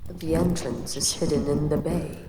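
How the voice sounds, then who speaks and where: A woman voicing a giant ancient female kaiju queen in an audio roleplay, with slow, measured, lordly speech. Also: sits low, steady tone, natural voice. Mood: reassuring. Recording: echoey reverb with ambient noise.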